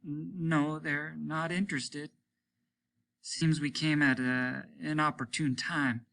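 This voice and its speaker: A man reading a story, voicing a polite, patient but dazed subordinate spaceman who speaks haltingly and deferentially. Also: a little high, level tone, vocal fry, pushed and bright, voice pushed up, full character voice. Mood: dazed, faltering. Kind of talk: audiobook character voice.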